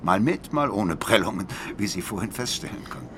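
An actor playing a blind, worldly music critic in a radio drama, speaking eloquently and deliberately with bitter passion. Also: male voice, on the low side, expressive, noisy background. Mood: reminiscing, intense. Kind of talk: radio drama dialogue and monologue. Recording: music behind the voice.

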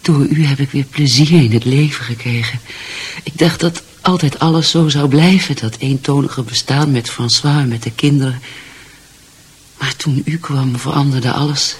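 A woman's voice: extremely low pitch, normal speed, husky, full character voice. An actress in a radio drama playing a distraught, lovesick married mother, pleading and often near whispering.

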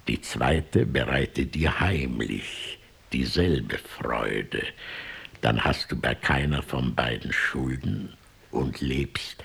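Creaky, low-voiced old Pope. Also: male voice, full character voice. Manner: theatrical, solemn, swinging from outbursts to hushed asides. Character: pompous, wily old Pope passing solemn judgment. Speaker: actor. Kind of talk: rhymed radio play acting.

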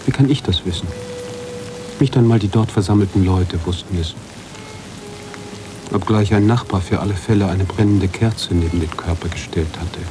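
An actor playing an anguished, philosophical writer-narrator in a literary radio drama, halting and searching, slipping at times toward a whisper. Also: male voice, sits low. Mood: brooding, questioning. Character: brooding male writer-narrator, anguished, philosophical, mourning his heroine.

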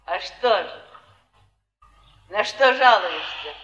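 An actress playing a scorned, scheming, passionate wife in an intense, theatrical Russian stage drama, with a pleading delivery. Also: female voice, mid-range voice, expressive, slow, fairly bright tone, soft background music, poor audio. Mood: wounded, challenging. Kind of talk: Soviet 1950s-70s stage drama acting.

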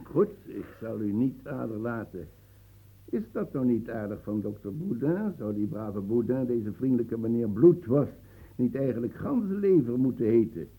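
A man's voice: medium pitch, expressive, moderate pace, dark tone, husky. A man playing a jovial, mocking court physician in a radio play. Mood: teasing. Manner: mocking, breezy. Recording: phone-like narrow band.

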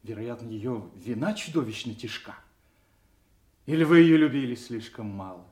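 Male actor as gallant king, earnest, noble declamation, mid-pitched, echoey radio play. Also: expressive, moderate pace, a little mellow, close to an everyday voice. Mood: questioning, earnest. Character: gallant, earnest king of France, courting suitor. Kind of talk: classical stage verse, radio drama.

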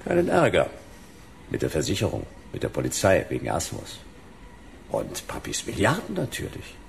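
An actor playing a wry, hard-boiled detective in a sci-fi radio drama, with dry, laconic, sardonic delivery. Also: male voice, very deep voice, huge pitch swings, normal speed, a little hiss or hum, exaggerated voice. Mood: bargaining. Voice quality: gravelly. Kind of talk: noir radio drama dialogue and first-person narration.

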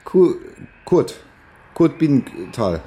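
An actor playing a naive, bumbling young amateur sleuth in a crime radio play, talking casually and haltingly. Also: male voice, medium pitch, sing-song, mellow, husky, full character voice. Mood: awkward, probing. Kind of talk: naturalistic German radio crime drama.

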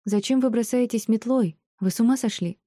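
Woman playing a bewildered young woman, indignant, snappy, higher voice, storybook acting. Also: dark tone, dry recording, clean studio sound, close to an everyday voice. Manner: exclamatory, quick to snap. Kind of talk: audiobook character acting.

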